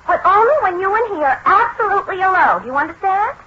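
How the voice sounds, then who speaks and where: A woman playing a bossy, wisecracking young woman with a brisk, slangy manner in 1940s radio drama. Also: very high-pitched, animated. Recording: noisy, muffled 1940s radio recording.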